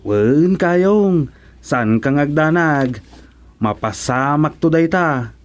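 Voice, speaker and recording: A man playing a brooding, muttering villager in a radio comedy drama, speaking deliberately. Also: a little high, lively intonation, music in the background. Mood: concerned.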